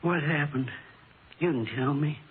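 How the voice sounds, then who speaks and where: A man playing a shaken, bewildered elderly widower in a vintage radio drama, speaking in a halting, plaintive way. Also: a little high, steady tone, husky. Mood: bewildered grief. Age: older. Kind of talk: 1950s radio drama acting. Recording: noisy narrow-band vintage radio recording.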